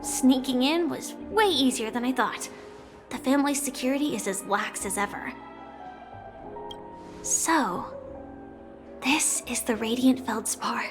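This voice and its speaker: A woman's voice: high voice, a little slow, pauses often. A woman voicing a curious, self-assured young female stowaway in a video game, musing and inquisitive. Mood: curious, pleased. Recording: background music under the voice.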